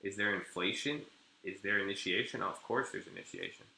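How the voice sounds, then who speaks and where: A man's voice: fairly low pitch, wildly swooping pitch, a little fast, vocal fry, pushed and bright. A young man from California chatting casually on a live trading stream, rambling, cursing and restarting his sentences. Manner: rambling, crude slang, frequent restarts. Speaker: day trader streaming live. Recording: echoey room.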